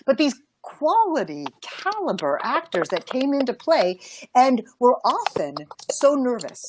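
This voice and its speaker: A woman's voice: high-pitched, sing-song, unhurried, background noise. A woman voice director telling anecdotes on a panel in an emphatic way, repeating phrases and acting out quotes. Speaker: animation voice director.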